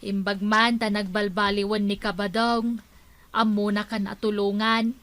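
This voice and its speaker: An actress with a Filipino Ilocano accent playing a chatty, coaxing village neighbour in a radio drama. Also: female voice, mid-range voice, flat delivery, normal speed, fairly bright tone, close to an everyday voice. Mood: gossipy.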